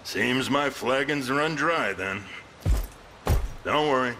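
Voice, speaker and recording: A man playing a gruff, wry town lord in a fantasy game, with folksy, sardonic, archaic phrasing. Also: mid-range voice, slow, bright, forceful tone, a little hiss or hum. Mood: weary, resigned. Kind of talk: video game fantasy acting.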